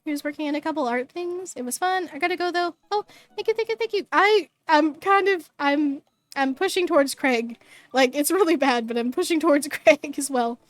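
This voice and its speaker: A hoarse, bubbly woman streamer reading game text aloud and chatting with viewers, tripping over words now and then. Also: high-pitched, brisk, no room sound.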